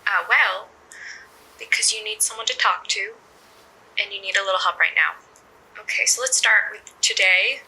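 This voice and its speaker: A woman playing an intern's steady future self in an audio drama, counselling in a measured, coaxing way with small hesitations. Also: medium pitch, vocal fry, poor audio. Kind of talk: naturalistic audio drama acting.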